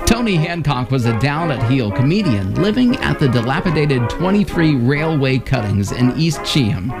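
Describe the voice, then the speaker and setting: Male host for scripted radio history narration, lively intonation, music bed. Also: mid-range voice, moderate pace.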